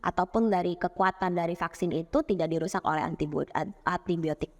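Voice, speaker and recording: A woman's voice: lowish voice, fairly even intonation, fairly quick, darkish tone. A woman pediatrician with an Indonesian accent, patiently explaining child health on a podcast with fillers and restarts. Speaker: pediatrician guest.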